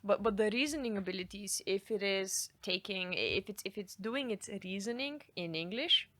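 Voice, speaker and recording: A woman's voice: medium pitch, lively intonation, normal speed, pushed and bright, no room sound. A woman interviewer asking curious questions and murmuring agreement as she listens. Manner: curious, with brief back-channel murmurs.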